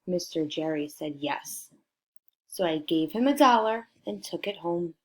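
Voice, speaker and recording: A woman reading a picture book aloud to children in an expressive way, prompting her listeners with questions. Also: lowish voice, unhurried, rough recording. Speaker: storybook reader for children.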